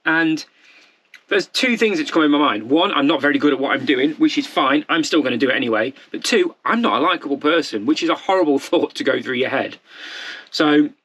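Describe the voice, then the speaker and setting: Man, 40s, candid, rambling, self-deprecating, slightly raised pitch. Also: animated, a little fast, fairly bright tone, husky. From United Kingdom. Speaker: vlogger. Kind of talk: off-the-cuff monologue to camera.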